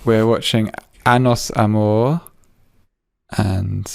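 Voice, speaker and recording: A man hosting a casual podcast chat, talking haltingly with lots of fillers and dry jokes. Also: on the low side, fairly even intonation, vocal fry, clean tone, dry recording. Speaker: podcast host.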